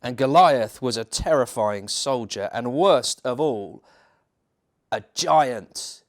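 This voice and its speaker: A man reading a children's bedtime story as a church minister, theatrical, with dramatic pauses and emphasis. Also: mid-range voice, expressive.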